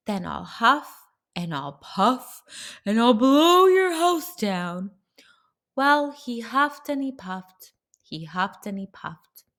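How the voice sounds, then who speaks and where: A woman reads a children's tale aloud at a slow pace, with a mid-range voice. Her narration is expressive and deliberate, leaning on key words for dramatic effect. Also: mid-range voice. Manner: deliberate, dramatic emphasis for young listeners. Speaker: children's storyteller.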